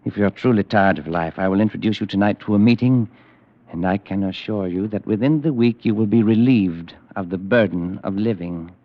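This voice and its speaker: An actor playing a smooth, sinister recruiter for a secret deadly club, in an old-time radio drama. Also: male voice, lowish voice, fairly quick, no echo, lightly acted. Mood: persuasive. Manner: suave, persuasive, ominous. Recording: dull old radio recording.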